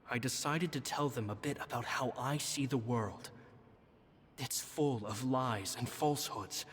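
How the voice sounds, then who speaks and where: A man voicing a thoughtful, gently worried grown man reading his own letter aloud in a game voice-over. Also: medium pitch, natural voice. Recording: reverberant voice-over with music.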